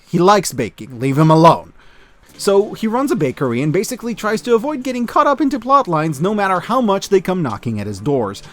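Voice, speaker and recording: Animated, high-pitched man reviewing media. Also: no echo. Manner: enthusiastic, expressive, with wry asides. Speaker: online media reviewer and host. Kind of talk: scripted video essay narration, year-in-review commentary.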